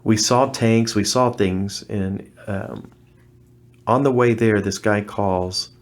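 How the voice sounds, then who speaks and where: A man's voice: fairly low pitch, steady tone, slow. A middle-aged man, a guest on an interview podcast, earnestly recounting his experiences in a casual, conversational way with frequent restarts. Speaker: interview guest, former missionary.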